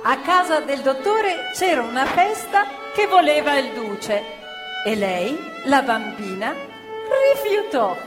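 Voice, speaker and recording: A woman's voice: high-pitched, sing-song, takes it slowly, music in the background. A woman narrating a stage play expressively, with a rhythmic, sometimes half-sung delivery. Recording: live stage recording in a reverberant theatre with violin.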